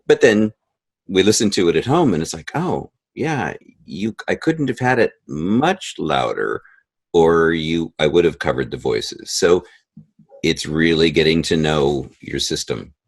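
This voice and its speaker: Low-voiced, animated man chatting in an interview. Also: unhurried, breathy edge. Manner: animated, acts out quoted reactions. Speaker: interview guest, audio drama composer and sound editor. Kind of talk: conversational podcast interview.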